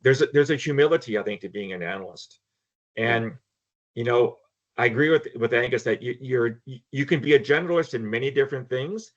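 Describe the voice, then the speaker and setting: A man's voice: medium pitch, brisk, lo-fi sound. An older man, speaking frankly and emphatically as a guest in a casual panel discussion. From Canada. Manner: emphatic, frank, repeats words when starting. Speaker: guest, former intelligence analyst.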